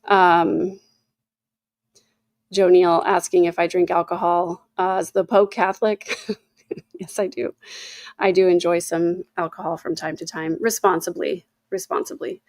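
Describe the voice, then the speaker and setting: A woman's voice: on the low side, steady tone, a little slow, darkish tone, no room sound. Woman in her fifties, chatty livestreamer. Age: 50s. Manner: rambling, reading chat aloud, many fillers. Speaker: livestream host. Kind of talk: casual livestream chat.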